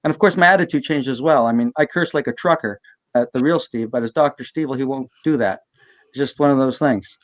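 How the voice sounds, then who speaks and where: Fast-talking man on dull lo-fi audio. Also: mid-range voice, dry recording. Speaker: guest, costumed convention character performer. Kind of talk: casual chat.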